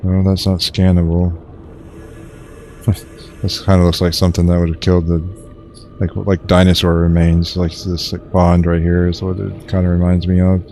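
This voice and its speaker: Young guy streaming a game, really low deep voice, dead flat monotone, bit of faint noise behind. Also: moderate pace. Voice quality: monotone, low-key delivery. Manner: rambling, hesitant, many fillers and restarts. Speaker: streamer playing a survival game. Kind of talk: casual gameplay commentary.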